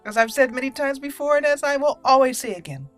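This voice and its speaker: A woman's voice: sits high, lively intonation, fast. A woman hosting a roleplay video game playthrough, chatting with playful asides and reading dialogue choices aloud over game music. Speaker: gaming video host.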